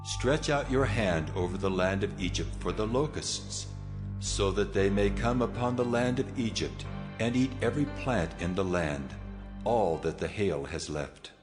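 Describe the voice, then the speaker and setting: A man's voice: on the low side, unhurried, over music, close to an everyday voice. A man playing almighty God in dramatized scripture audio, grave and commanding with solemn authority.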